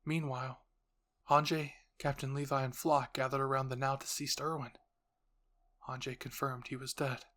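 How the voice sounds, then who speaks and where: Mid-pitched fan-fiction narrator. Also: flat delivery, normal speed, a bit bright. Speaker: narrator and voice performer.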